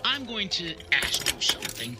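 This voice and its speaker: An actor playing an indignant, demagogic television pundit in a radio drama, hectoring listeners with rhetorical questions. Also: male voice, high voice, big pitch swings, moderate pace, over loud music. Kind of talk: parody TV political commentary in radio drama.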